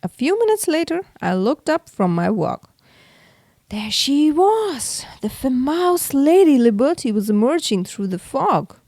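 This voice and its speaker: Slow, expressive woman reading a storybook. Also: sits high, no room sound, clean studio sound. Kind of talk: children's storybook read-aloud.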